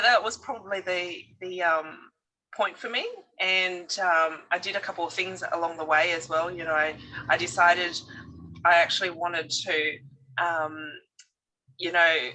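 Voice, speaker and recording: Middle-aged woman, interview guest; low-set, bright voice, halting, thoughtful delivery. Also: low-quality recording. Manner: hesitant, many fillers and restarts, reflective. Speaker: podcast guest, divorce coach. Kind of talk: casual conversational interview.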